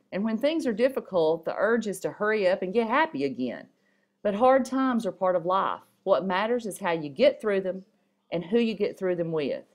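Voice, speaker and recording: Low-voiced woman reading aloud. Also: darkish tone. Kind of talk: reading a story and poem aloud.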